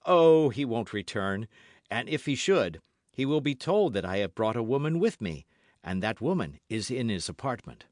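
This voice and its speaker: A man's voice: medium pitch, very animated, moderate pace, no echo, clean studio sound, close to an everyday voice. A man reading an audiobook as a brave, eager young guardsman with an emphatic, courtly way of speaking. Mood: eager, confident. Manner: emphatic, courtly, formal speech.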